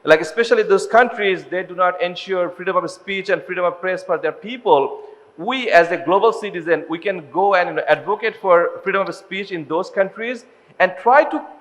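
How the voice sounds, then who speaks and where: A high-pitched man from Bangladesh, giving a measured, explanatory panel answer full of "you know" fillers. His voice rings with a long reverb in a large ballroom. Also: lively intonation, fairly bright tone.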